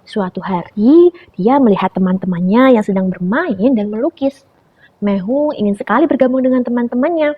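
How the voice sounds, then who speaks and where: A young woman telling a story to preschool children in an animated way, often turning to her listeners. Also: fairly high pitch, normal speed, slightly rough. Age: young adult. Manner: animated, calls listeners "friends". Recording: dull lo-fi recording.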